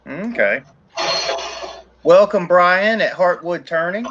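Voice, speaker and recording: Male co-host, slightly high voice, casual chat reader, dull stream audio. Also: lively intonation, takes it slowly, background noise. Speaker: co-host reading viewer questions.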